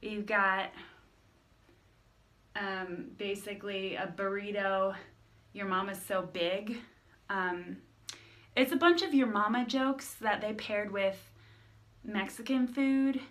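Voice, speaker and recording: An awkward young cashier at her dad's taco shop, as a woman puts her on, trailing off with ums and quick restarts. Her voice is bright and quiet as she rambles through the menu. She stays fumbling and eager to please the whole time. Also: mid-range voice. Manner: hesitant, lots of ums.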